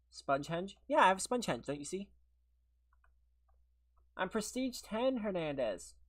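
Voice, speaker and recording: Sniffly higher-voiced man streaming a game. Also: a bit bright. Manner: chatty and rambling, laughs often, answers chat. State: sick, sniffly, voice a little worn. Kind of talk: casual livestream chat while gaming, replying to viewers.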